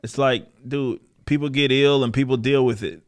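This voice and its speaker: A man's voice: mid-range voice, fairly even intonation, moderate pace. A man giving casual, opinionated podcast commentary in a combative, emphatic manner with plenty of swearing. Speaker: podcast host.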